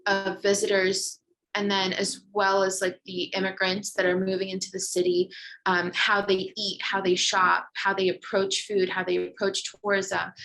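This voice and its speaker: Woman, thirties, gravelly, flat intonation, conversational panel guest, video call. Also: mid-range voice, rough recording. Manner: conversational, many fillers. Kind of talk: virtual panel introduction.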